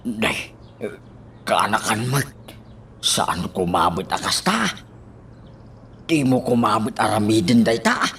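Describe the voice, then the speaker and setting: Radio drama: actor as scared uncle, low, slow, pleading. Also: male voice, expressive, creaky, a bit bright, slight background noise, exaggerated voice. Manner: hesitant, pleading. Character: frightened uncle, pleading older man.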